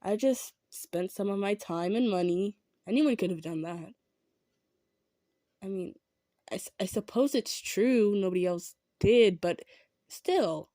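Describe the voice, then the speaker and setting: A woman's voice: mid-range voice, measured pace, dry recording, close to an everyday voice. Woman playing a humble, kind centaur, dry close sound. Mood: earnest, reluctant. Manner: earnest, hesitant, gentle insisting. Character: kind, humble, self-effacing female centaur. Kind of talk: improvised audio roleplay addressed to the listener.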